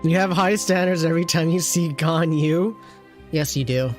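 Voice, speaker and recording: A streamer giving casual, rambling game commentary, teasing and chatting with viewers, in a middle-pitched voice. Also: moderate pace, a little mellow, faint music behind.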